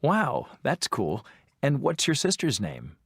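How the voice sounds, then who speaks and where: An adult voice playing an interviewer in a scripted textbook dialogue, asking friendly questions with measured delivery. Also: medium pitch, huge pitch swings, slightly rough, dry recording, studio quality, exaggerated voice. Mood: plain.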